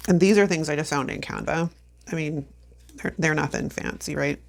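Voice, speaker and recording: Low, gravelly woman crafting and chatting. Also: moderate pace. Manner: chatty, self-talking while crafting, laughs often. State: sniffly with allergies. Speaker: planner vlogger. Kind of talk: casual plan-with-me chat.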